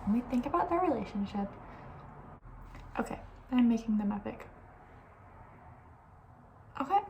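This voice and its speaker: A soft-spoken woman hosts a casual ASMR chat at a medium pitch. Her voice slips toward a breathy whisper as she rambles conversationally and gives playful opinions. A steady hiss and room ambience sit behind her. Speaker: ASMR video host.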